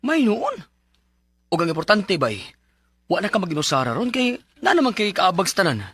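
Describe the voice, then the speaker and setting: An actor with a Filipino Bisaya accent playing an excitable, supportive buddy in a radio drama. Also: male voice, sits high, moderate pace, breathy edge, no echo, full character voice. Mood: encouraging. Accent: Filipino, Cebuano Bisaya.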